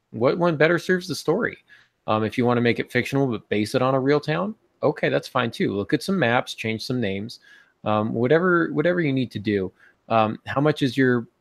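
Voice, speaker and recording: A man's voice: medium pitch, no room sound, studio quality. A man in his forties giving casual advice as a podcast co-host on a video call, thinking aloud in a tentative way. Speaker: podcast co-host and writing advisor. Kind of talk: casual advisory talk. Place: small home room with bookshelves, indoors. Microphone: video call on earphones.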